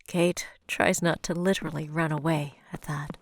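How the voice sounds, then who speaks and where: A woman narrating a dark audio drama as a solemn storyteller, in a measured, grave, scripted style. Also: deep voice, little pitch movement, a little hiss or hum. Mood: grave, suspenseful.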